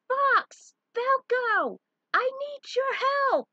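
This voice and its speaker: A woman's voice: very high voice, expressive, drawn-out words, no room sound, lo-fi sound, voice pushed up, heavily acted. A woman voicing a bold female space bounty hunter in a story, calling out urgent orders. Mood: urgent shouting.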